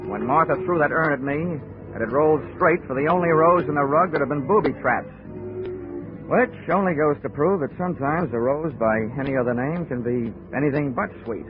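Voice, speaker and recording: An actor playing a wry, hardboiled investigator with deadpan wisecracks in a 1940s radio drama. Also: male voice, fairly high pitch, a little fast, narrow, tinny audio, music in the background, lightly acted. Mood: wry, tense. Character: wry, hardboiled male insurance investigator narrating his case. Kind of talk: 1940s radio acting, detective narration. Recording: band-limited old radio recording.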